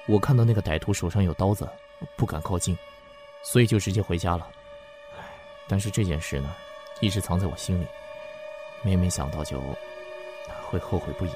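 A male actor plays a guilty man with a secret in a suspense radio drama. His voice is low, uneasy and guarded, and music plays clearly behind it. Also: lightly acted. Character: guilt-ridden, secretive, anxious man hiding a crime.

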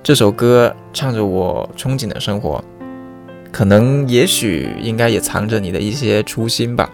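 A young man with a low voice and a noticeable creak speaks sincerely and sentimentally, as if sending a personal audio letter. Faint guitar music plays behind him. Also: normal speed. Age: young adult. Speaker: radio programme presenter sending wedding wishes. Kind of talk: heartfelt spoken personal message.

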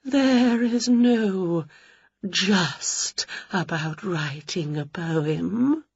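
A woman voicing a small, sensitive male ghost poet in a storybook, speaking slowly in a quivering, tearful, dramatic voice. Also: lowish voice, expressive, a little mellow, husky, no echo, heavily acted. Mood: wistful. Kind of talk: storybook read-aloud. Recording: slightly dull dry recording.

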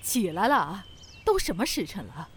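A woman's voice: medium pitch, sing-song, a bit bright, a little hiss or hum. A woman playing a bossy middle-aged mother in a Chinese period audio drama, scolding and giving orders. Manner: scolding, brisk commands.

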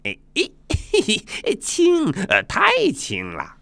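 Male storyteller as brash monkey king, giggly, mischievous, wildly swooping pitch, close dry sound. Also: wildly swooping pitch, slow pace, creaky, a bit bright, no room sound, exaggerated voice. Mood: dismissive. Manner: giggly, exclaiming, cocky.

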